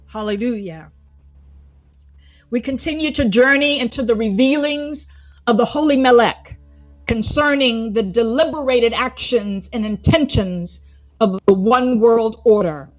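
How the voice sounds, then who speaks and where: A woman evangelist reading a formal religious report aloud in a solemn, declamatory, deliberate manner. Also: a little high. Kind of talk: formal religious address, read aloud from a prepared script. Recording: dull lo-fi recording with music underneath.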